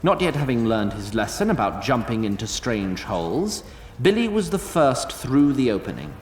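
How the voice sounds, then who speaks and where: A man narrating a scripted fantasy story in a measured, literary way. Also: medium pitch, big pitch swings, darkish tone. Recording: roomy sound, faint noise.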